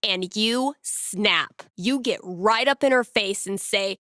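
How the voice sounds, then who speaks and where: A woman's voice: mid-range voice, slow pace, pushed and bright, no room sound, studio quality. A woman playing a witty young small-town radio DJ in a video game, with an American accent and a playful, conversational delivery. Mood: storytelling, earnest. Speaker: radio host. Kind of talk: casual local radio announcements, video game voice acting.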